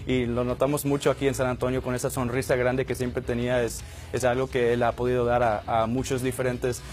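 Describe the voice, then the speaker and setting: Coach mixing in English fillers. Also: male voice, mid-range voice, level tone, fairly quick, darkish tone, faint music behind. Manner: code-switching, many fillers and restarts. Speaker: basketball coach. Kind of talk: remote interview. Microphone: satellite link.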